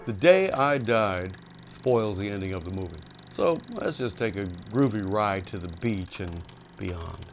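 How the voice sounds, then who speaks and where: A man's voice: fairly low pitch, animated, a little slow, over loud music. A man hosting with wry, jokey, mocking commentary on old films. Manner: sardonic, jokey. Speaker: host.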